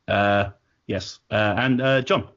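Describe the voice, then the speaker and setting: A man's voice: lowish voice, steady tone, slow, a bit bright, dull audio. A man hosting a podcast with casual, blunt, sweary and jokey banter. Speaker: podcast host.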